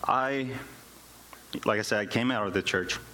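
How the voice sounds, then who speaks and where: A man's voice: lowish voice, normal speed, bright, forceful tone, a little hiss or hum. A South African man, a native Afrikaans speaker, giving an informal personal testimony in church, often repeating words as he searches for phrasing. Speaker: worship musician and music teacher giving a testimony.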